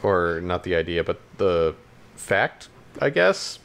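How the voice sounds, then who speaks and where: A man's voice: deep voice, very animated, slow pace, gravelly, a bit bright, clean tone. A man co-hosting a podcast in casual chat, matter-of-fact and questioning, feeling his way through sentences. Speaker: podcast co-host.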